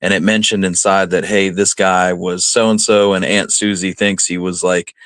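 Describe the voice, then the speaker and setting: A man's voice: deep voice, little pitch movement, moderate pace, a bit bright, dry recording. A man co-hosting a radio show, chatting casually in a plain-spoken, colloquial way. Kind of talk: casual chat.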